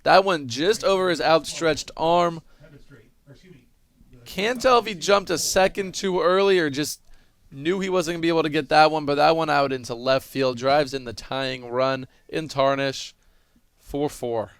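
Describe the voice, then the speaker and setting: A man's voice: fairly high pitch, measured pace, smooth. A man giving analytical, explanatory color commentary on a live baseball broadcast.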